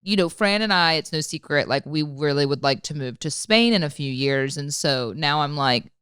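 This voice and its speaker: A woman's voice: low-pitched, expressive, moderate pace, fairly bright tone, clear, resonant tone, no echo, clean studio sound. A woman hosting a podcast in an office, chatting casually with lots of likes and you-knows. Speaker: podcast host. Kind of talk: casual chat.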